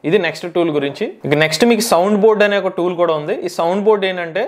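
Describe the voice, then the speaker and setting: A man presents a software tutorial at a very rapid pace. His voice is fairly high, and he explains in an instructional way, repeating key phrases. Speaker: tech tutorial host.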